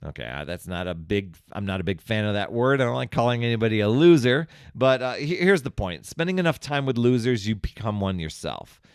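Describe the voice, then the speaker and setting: A man's voice: fairly low pitch, animated, a little fast, few pauses, clean tone, no echo, clean studio sound. A man hosting a solo podcast, talking in a casual, chatty, conversational way. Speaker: podcast host.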